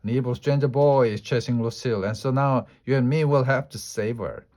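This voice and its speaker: An excitable, bossy little schoolgirl, played by a man reading aloud tumbles through her words in a dramatic, breathless rush. The voice sits in a middling pitch. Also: fairly quick, close to an everyday voice. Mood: excited. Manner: breathless kid talk, dramatic.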